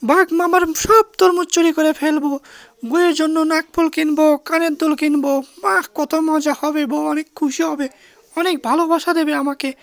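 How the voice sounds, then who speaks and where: A woman's voice: high-pitched, fairly even intonation, normal speed, no echo. A woman voicing a sly, eager male cartoon fox who exclaims and repeats himself. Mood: gleeful scheming.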